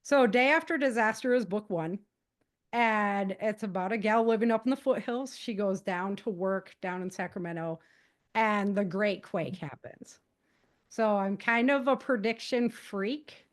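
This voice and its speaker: A woman's voice: mid-range voice, a little slow. A woman speaking as a podcast guest, giving practical advice in a casual, conversational way and explaining things with animation.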